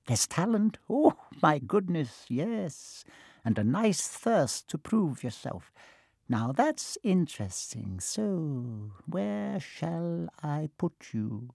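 A man's voice: a little high, sing-song, gravelly, darkish tone, husky, dry recording, studio quality, pitched above the performer's own voice, heavily acted. A man doing a sly, musing magic hat. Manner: slow, musing, drawn-out. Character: wise old magical talking hat, probing and sly.

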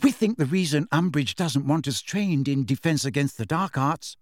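A man voicing an earnest, impatient teenage schoolgirl with a brisk, insistent manner in a storybook reading. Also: on the high side, lively intonation, no room sound, studio quality, lightly acted.

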